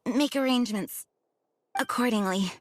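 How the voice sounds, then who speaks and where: A woman's voice: on the high side, lightly acted. A woman playing a courteous, dutiful, overworked knight commander in a video game, speaking formally and wearily. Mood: weary, dutiful. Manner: formal, measured.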